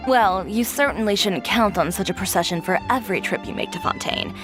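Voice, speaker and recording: A woman voicing a poised, self-assured young fashion designer who speaks calmly and matter-of-factly with dry teasing. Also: mid-range voice, a little fast. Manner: calm, composed, matter-of-fact with dry teasing. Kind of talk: game cutscene dialogue. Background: game soundtrack music.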